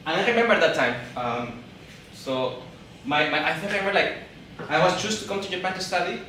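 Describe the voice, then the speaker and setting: A man's voice: sits high, bright, forceful tone, far from the mic, a little hiss or hum. Peruvian man talking on stage in an echoey hall. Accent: Peruvian Spanish. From Peru. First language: Spanish. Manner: halting, repeats and restarts words, laughs along with the crowd. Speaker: event speaker. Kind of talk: live stage talk to an audience. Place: event hall.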